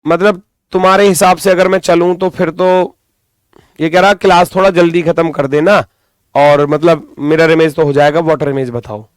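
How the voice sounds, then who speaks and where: Male coaching teacher, higher-pitched, recovering throat, dry close sound, informal and directive, repeats key phrases. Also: fairly even intonation, normal speed, clean tone, no room sound. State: strained throat, recovering from lost voice. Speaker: reasoning teacher for exam coaching. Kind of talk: live class lesson, thinking aloud.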